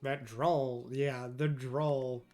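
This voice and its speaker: A man from New York talks loosely with his viewers as he plays through a game. His voice sits at an ordinary middle pitch for a man. Faint game music plays quietly under his chatter. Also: fairly even intonation, very slow. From New York, USA. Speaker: streamer. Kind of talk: casual gameplay chat with viewers.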